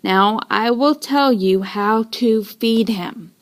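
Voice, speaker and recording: A woman voicing a cautioning male fish seller in a storybook, measured and emphatic. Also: fairly high pitch. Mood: instructive.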